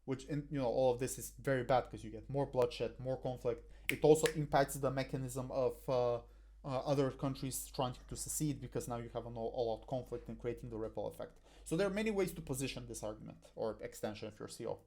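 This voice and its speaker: A young man coaching debaters over his slides, recorded on a headset in a small room. His voice sits at a middle pitch with a warm, mellow tone. He talks in a halting way, full of fillers and restarts. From Bulgaria. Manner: hesitant, many fillers and restarts.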